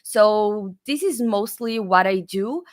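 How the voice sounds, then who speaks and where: A woman's voice: mid-range voice, animated, slow pace. A woman from Brazil with a Brazilian accent, a guest chatting casually in a video-call interview with many fillers and restarts. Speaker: guest, developer advocate.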